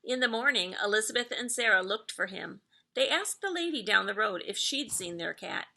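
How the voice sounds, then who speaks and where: Woman, middle-aged, school read-aloud narrator; bright tone with noticeable creak, expressive storytelling delivery. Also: mid-range voice, normal speed. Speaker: elementary school staff member reading aloud to pupils.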